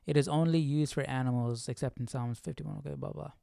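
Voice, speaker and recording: A man hosting a casual live Bible study, reading aloud and thinking out loud in an informal, slangy way. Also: mid-range voice, fairly even intonation, fast, gravelly, dry recording, clean studio sound.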